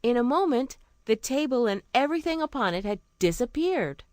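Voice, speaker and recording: A woman tells a children's fairy tale as a storyteller. Her delivery is highly animated in pitch, and the recording is dry and close, with little room sound. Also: fairly quick, no room sound.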